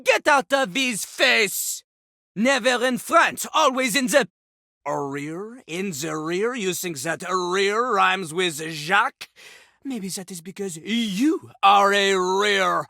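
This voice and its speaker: A woman's voice: medium pitch, animated, slow pace, vocal fry, bright, forceful tone, no room sound, studio quality, exaggerated voice. A woman voicing a cocky, ruthless talking toilet rapper in a video game, with a brash, mocking, grandiose manner. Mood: mocking, outraged. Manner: brash, mocking, grandiose, speaks of self in third person. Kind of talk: animated video game character acting.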